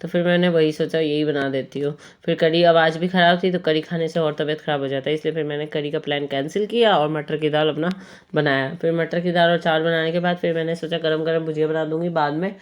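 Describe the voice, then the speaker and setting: A young woman, hoarse from a cold, chatting casually as she narrates her home cooking vlog. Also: low voice, steady tone, fast. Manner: chatty, homely, meandering. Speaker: cooking vlogger.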